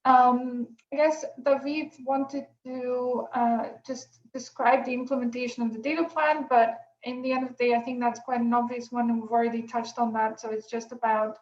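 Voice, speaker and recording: A woman from Greece moderating an online workshop over a video call, relaxed, with many pauses and fillers. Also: fairly high pitch. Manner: relaxed, many ums, thinking aloud. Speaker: workshop facilitator. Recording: echoey room, dull lo-fi sound.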